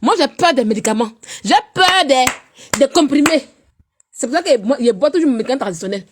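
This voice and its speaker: A woman, chatting on a casual live stream while she has a cold, swinging between loud teasing and low murmurs. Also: animated, a little fast, background noise.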